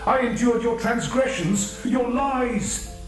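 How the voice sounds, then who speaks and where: A man's voice: very high-pitched, slow pace. An actor playing a bitter, self-righteous husband who condemns his wife with religious scorn, in an audio drama. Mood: furious. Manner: venomous, preaching, full of religious scorn. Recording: very echoey with music underneath.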